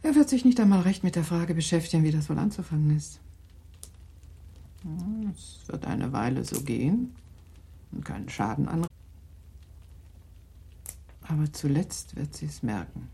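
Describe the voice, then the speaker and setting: A low, gravelly-voiced worried mother. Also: sing-song, mellow, heavily acted. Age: middle-aged. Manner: measured, confiding, drops to a whisper. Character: worried aristocratic mother, middle-aged, shrewd. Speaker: mother in a radio play. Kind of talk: 1960s German radio drama acting.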